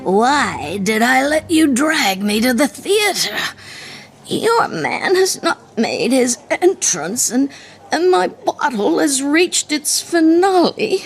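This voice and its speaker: Slow, swooping, tipsy lady poet. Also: high-pitched, big pitch swings, creaky, full character voice. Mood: wry, tipsy. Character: witty, boozy woman poet with theatrical bravado. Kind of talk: radio theatre acting in verse.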